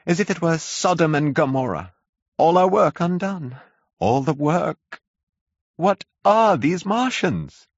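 Hysterical preacher, high wailing voice, swooping wildly, put on by a deep-voiced male reader. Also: lively intonation, slow, dry recording, dull audio, heavily acted. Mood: frantic. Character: panicked male curate, frantic, half-mad with fear.

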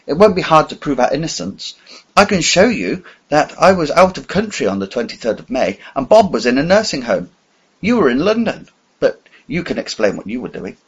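A plump younger gentleman talks in careful, soothing phrases with a faint stammer, as a male reader voices him. His voice sits higher than a plain speaking voice and carries some husk. The audio sounds dull, with little top end. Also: sing-song, brisk, breathy edge, exaggerated voice. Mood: reassuring. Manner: picks words carefully, slight stammer. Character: plump younger man, soothing but nervous.

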